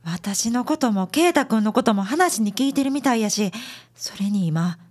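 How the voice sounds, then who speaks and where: A woman plays an easygoing female assistant at a detective office in a radio drama. She has a Kansai accent and a high, very animated, friendly voice. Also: moderate pace. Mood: intrigued.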